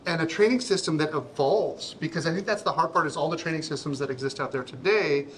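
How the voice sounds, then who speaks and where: A middle-aged man from Canada with a big voice, a guest chatting casually at an awards reception, halting and repeating words as he thinks. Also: a little high, slight background noise, rough recording. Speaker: interview guest, accountant. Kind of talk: casual event interview. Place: busy reception event.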